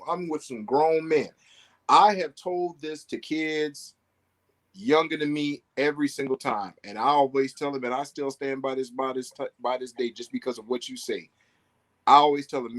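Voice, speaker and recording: A man in his thirties hosting a casual podcast chat, jumping in and teasing his guests. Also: mid-range voice. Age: 30s. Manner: lively, teasing, quick to jump in. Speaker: podcast host.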